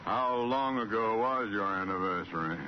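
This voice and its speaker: A man's voice: fairly low pitch, normal speed, lightly acted. A man playing a blustery, wry, middle-aged boss with an American accent in an old radio sitcom. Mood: genial, wry. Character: blustery, exasperated well-to-do boss, henpecked husband. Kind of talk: 1940s-50s American radio sitcom acting. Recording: very noisy, muffled old radio broadcast.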